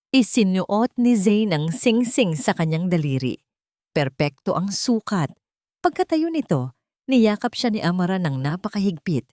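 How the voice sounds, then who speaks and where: A woman narrating a scripted dramatic audiobook story with measured, dramatic phrasing. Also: on the low side, warm tone, dry recording, clean studio sound.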